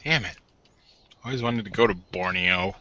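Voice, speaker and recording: A man's voice: fairly low pitch, moderate pace, pushed and bright, lo-fi sound. A man casually roleplaying as a player in a tabletop game session.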